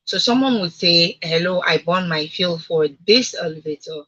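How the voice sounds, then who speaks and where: A woman's voice: on the low side, moderate pace, bright, forceful tone, lo-fi sound. A woman with a Nigerian accent hosting a radio discussion, speaking emphatically and putting rhetorical questions to listeners. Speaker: radio talk show host.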